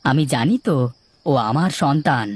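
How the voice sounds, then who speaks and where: A man's voice: fairly high pitch, expressive, normal speed, slightly rough, no room sound. Man acting a good-natured village labourer. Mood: proud, affectionate. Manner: homely, good-natured, conciliatory. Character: hardworking village labourer, affectionate husband and father, placating. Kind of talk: cartoon dialogue acting. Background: background music.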